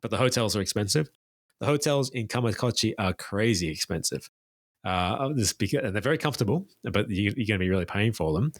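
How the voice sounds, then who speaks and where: A man's voice: lowish voice, animated, a little fast, no room sound, studio quality. A man who works as a tour guide, talking as a guest in a casual interview and giving detailed, enthusiastic recommendations.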